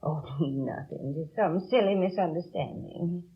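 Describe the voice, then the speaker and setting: A woman's voice: fairly low pitch, unhurried, breathy edge. A woman playing a respectable, flustered store customer in a 1950s radio drama, speaking emphatically yet hesitantly. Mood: chatty. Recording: dull old radio recording.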